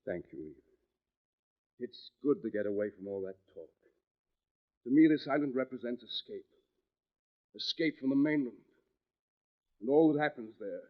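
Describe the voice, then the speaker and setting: A mid-pitched male voice of an actor as a brooding husband, tormented and tenderly menacing. The delivery is intense and halting in the manner of 1940s radio melodrama, heard through a dull vintage recording. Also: lively intonation, lightly acted. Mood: weary. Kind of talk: 1940s radio mystery acting.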